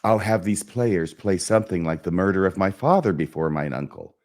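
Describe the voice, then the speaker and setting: A man performing a self-loathing, melancholy young prince bent on revenge, in a declamatory, brooding theatrical soliloquy with dramatic pauses. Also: sits low, animated, darkish tone. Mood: scheming, brooding. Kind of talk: theatrical Shakespearean stage soliloquy.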